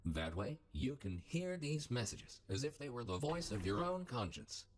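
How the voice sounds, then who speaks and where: A man's voice: mid-range voice, very animated, moderate pace, a little hiss or hum, lightly acted. A man voicing a smugly sardonic automated test-facility announcer in a video game, giving polite, scripted announcements with callous cheer. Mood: callously matter-of-fact.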